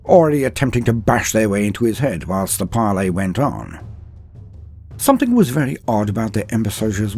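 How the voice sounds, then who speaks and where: A man's voice: lowish voice, gravelly, a little mellow, husky. A man narrating a comedic mock-epic story with archaic phrasing and joking asides.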